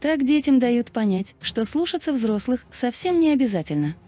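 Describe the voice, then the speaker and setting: Female narrator, scripted explainer read; soft-edged tone, very varied intonation, music bed. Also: fairly high pitch, very animated, moderate pace. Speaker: video narrator. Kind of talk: scripted documentary-style narration. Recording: music behind the voice. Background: background music.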